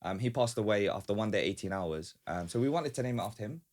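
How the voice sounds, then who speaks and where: A man's voice: fairly low pitch, lively intonation, quick pace, a little mellow. A man talking informally and chattily as a guest on a casual podcast. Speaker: guest, small business founder.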